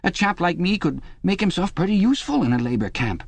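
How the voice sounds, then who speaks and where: Male reader voicing a scared, fawning middle-aged man, high and pleading, dry recording. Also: very animated, fast, creaky, dry recording, full character voice. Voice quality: high, pleading, nearly blubbering. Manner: fawning, jittery, self-justifying. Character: frightened pudgy middle-aged man, servile and eager.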